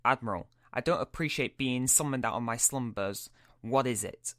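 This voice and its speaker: A man voicing a menacing, curt and imperious dark lord in a fan-made sci-fi roleplay. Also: medium pitch, moderate pace, loud music behind the voice, close to an everyday voice. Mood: cold, imperious. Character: menacing male dark Force lord hunting his old apprentice.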